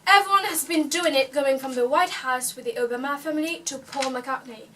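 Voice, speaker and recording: A woman's voice: high-pitched, fairly quick, pushed and bright, distant mic, a little hiss or hum. A woman presenting a parody news show in a casual, chatty way, dropping fillers as she talks.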